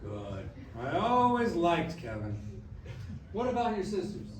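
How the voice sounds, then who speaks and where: A man's voice: high voice, huge pitch swings, a little slow, exaggerated voice. A man acting a playful, loving grandfather in a staged reading, with an emphatic, theatrical delivery. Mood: caring. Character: playful, loud, loving grandfather back from death. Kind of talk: staged musical reading, acted dialogue. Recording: distant, echoey room with faint noise.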